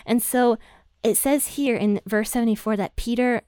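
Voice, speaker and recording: A woman's voice: on the high side, moderate pace, warm tone, clear, resonant tone, dry recording, clean studio sound. A woman hosting a casual Bible study podcast, chatty and conversational, often laughing lightly between thoughts. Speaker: podcast host teaching the Bible.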